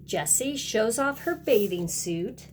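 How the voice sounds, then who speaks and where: A woman's voice: a little high, animated, slow, darkish tone, a little hiss or hum. A woman kindergarten teacher reading a picture book aloud and talking directly to young children in a sing-song way.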